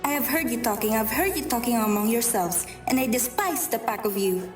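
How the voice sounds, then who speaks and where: A woman's voice: a little high, a little fast, close to an everyday voice. A woman playing a proud, haughty woman in an amateur radio drama, with a theatrical, emphatic delivery. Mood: indignant, scornful. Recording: distant, echoey room, faint music.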